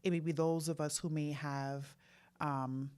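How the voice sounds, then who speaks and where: A woman speaks in a low-pitched voice. She co-hosts a casual discussion show, talking with conviction and backing up her partner with frequent murmurs of agreement. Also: steady tone, unhurried, a little mellow, smooth. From Brooklyn, New York. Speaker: podcast co-host.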